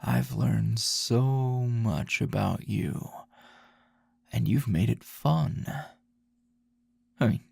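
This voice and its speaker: A man playing a grumpy middle-aged teacher in an intimate ASMR roleplay, a teasing, possessive lover with a low, gravelly, husky murmur and a slow drawl. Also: darkish tone, full character voice. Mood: fond, teasing.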